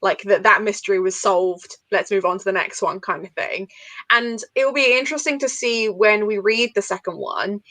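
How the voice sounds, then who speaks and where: A woman's voice: medium pitch, normal speed, a bit bright, no echo. A woman in a casual book discussion, talking informally and analytically as she thinks aloud. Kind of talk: casual live book chat.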